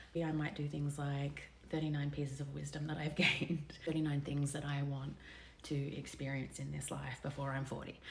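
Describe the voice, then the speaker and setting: A woman's voice: low voice, creaky, slight background noise. A woman in her late thirties talking candidly to camera in a personal vlog. She is confessional and often drops to a near whisper. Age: 30s. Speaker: vlogger.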